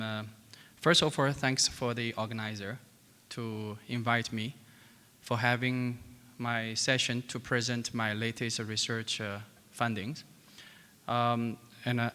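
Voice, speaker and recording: A man from China, presenting research at a conference in a halting way with many fillers. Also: medium pitch, pushed and bright, clear, resonant tone. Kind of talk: academic presentation. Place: large conference hall. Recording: long conference hall reverb.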